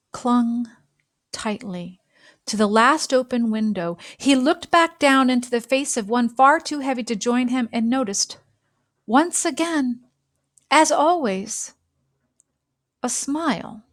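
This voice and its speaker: Unhurried woman reading a children's storybook. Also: fairly high pitch, no echo, clean studio sound. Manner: measured, dramatic phrasing with deliberate pauses. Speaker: storybook reader. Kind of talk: expressive read-aloud narration of a children's picture book.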